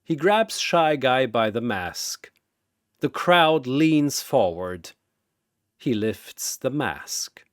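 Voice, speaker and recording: Slow, dramatic male storyteller, very animated. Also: mid-range voice, no room sound, clean studio sound. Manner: theatrical, short suspenseful phrases. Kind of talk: dramatic scripted narration.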